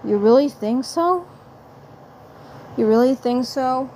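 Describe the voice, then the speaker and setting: Casting: curious male alien commander, read by a woman, wry and teasing, unhurried. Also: high voice, lively intonation, a little mellow, slight background noise.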